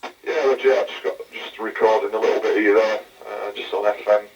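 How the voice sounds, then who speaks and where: A man's voice: fairly low pitch, steady tone, fairly quick, a little mellow. Muffled English man over CB radio. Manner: rambling, hesitant, many fillers. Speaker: radio operator on the other end. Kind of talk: casual radio chat. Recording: muffled distant radio transmission.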